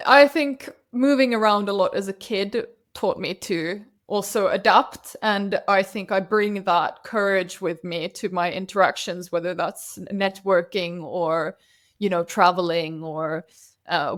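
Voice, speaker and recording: A woman's voice: mid-range voice, a little slow, fairly bright tone. Woman guest, native Swedish speaker, chatty storyteller, mid-range voice. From Finland. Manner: chatty and anecdotal, with frequent restarts. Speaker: podcast guest, CEO ghostwriter. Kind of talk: casual interview chat.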